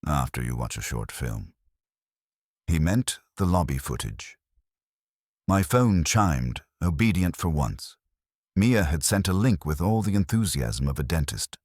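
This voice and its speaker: A man's voice: deep voice, sing-song, unhurried, a little mellow, dry recording. A man reading a scripted first-person detective story in a wry, dry, hard-boiled way. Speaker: narrator.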